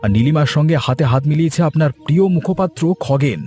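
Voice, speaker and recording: Earnest cartoon manservant, man's put-on voice, pitched well up, pleading manner, music behind. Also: fast, warm tone, lightly acted. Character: loyal, earnest male servant warning his master. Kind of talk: Bengali cartoon dubbing.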